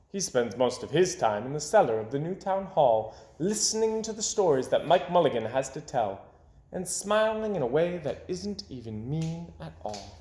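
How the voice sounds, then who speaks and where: A man with a slightly high, very animated voice narrates a children's storybook. He is dramatic, shifting volume and tempo to build suspense. The recording has a noticeable room echo. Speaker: storybook reader. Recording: roomy home recording.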